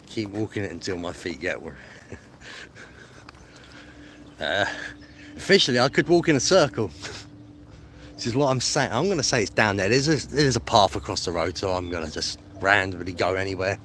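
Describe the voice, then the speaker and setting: A man's voice: fairly low pitch, expressive, normal speed, pauses often, fairly bright tone. A man chatting casually to a live stream, rambling and matey, with a self-deprecating way of talking. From England. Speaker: livestreaming travel vlogger. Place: outdoors on streets, walking. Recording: clear outdoor street noise, traffic.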